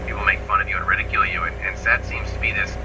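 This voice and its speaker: Low-voiced man, distant and noisy. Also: fairly even intonation, racing. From Canada. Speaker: guest filmmaker. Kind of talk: casual interview chat. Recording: distant mic with clear background noise.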